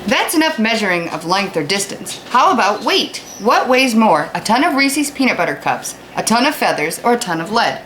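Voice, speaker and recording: A woman's voice: mid-range voice, very animated, moderate pace, hardly any pauses, a bit bright, a little hiss or hum, low-quality recording. An American woman teaching children in a read-aloud lesson, explaining informally and putting questions to her young listeners. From United States. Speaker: fifth-grade teacher.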